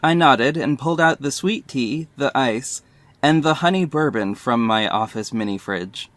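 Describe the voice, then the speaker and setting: A man's voice: mid-range voice, lively intonation, measured pace, a bit bright, no room sound. An actor playing a sassy, defiant male hero who narrates his own story in an audio drama, with dramatic, pointed delivery. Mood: measured suspense. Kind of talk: first-person audio drama narration and dialogue.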